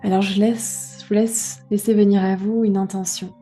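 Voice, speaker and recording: A woman guiding a relaxation meditation, giving gentle, unhurried instructions with pauses. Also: medium pitch, flat delivery, faint music behind. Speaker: yoga nidra guide.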